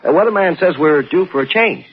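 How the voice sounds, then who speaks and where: A man's voice: high voice, expressive, brisk, breathy edge. An actor playing a stern, protective middle-aged American father in a 1960s radio drama, speaking and narrating in dry, formal words. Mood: stiff, awkward. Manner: dry, wry, formal wording. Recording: dull old radio recording, music behind.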